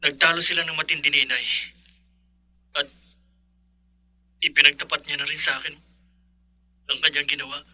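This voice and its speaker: A man's voice: a little high, fairly even intonation, moderate pace, long pauses, pushed and bright, no room sound. A man playing a worried young husband in a Filipino radio drama, speaking earnestly and urgently. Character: worried young husband searching for his missing wife.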